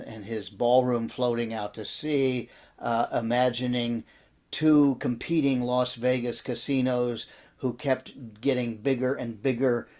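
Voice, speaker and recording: An American man in his sixties reminisces as an interview guest. He speaks at a slow, unhurried pace with a mid-pitched voice, pausing thoughtfully as he pieces his story together. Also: darkish tone. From American Midwest and Texas. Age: 60s. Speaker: interview guest, audio drama producer and actor.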